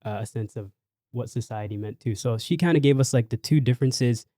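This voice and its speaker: A man's voice: mid-range voice, a little fast, dry recording. Laid-back male podcast host, dry mic. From Canada. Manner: relaxed, filler-heavy, encouraging. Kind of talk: casual podcast chat.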